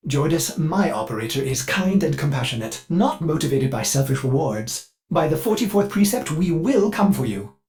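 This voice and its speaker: An actor voicing a devoted ship computer AI in a video game, fussy, polite and eager with violent outbursts, through glitchy synthetic processing. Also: male voice, fairly high pitch, very animated, normal speed, a little mellow. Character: fussy, devoted ship computer AI, male, with flashes of bloodthirsty rage. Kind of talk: scripted video game character lines.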